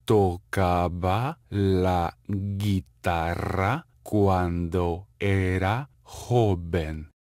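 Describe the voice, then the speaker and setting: A man reading short phrases for a language lesson, saying each one slowly and clearly and then repeating it. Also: deep voice, steady tone, darkish tone, no echo. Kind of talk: slow, carefully enunciated drill reading. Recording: dry, close studio voice-over.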